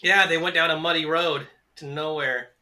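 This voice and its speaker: A man's voice: fairly high pitch, steady tone, moderate pace, sharp tone. A congested man co-hosting a podcast in casual banter, rambling and joking with lots of restarts from a small hot room at home. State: stuffed up, congested. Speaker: podcast co-host.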